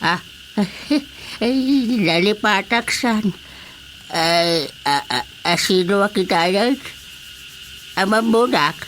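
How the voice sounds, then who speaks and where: Very slow, doddering comic servant. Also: female voice, mid-range voice, expressive, fairly bright tone, a little hiss or hum. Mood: muddled. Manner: halting, cackling, muddled. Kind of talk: Ilocano comedy radio drama acting.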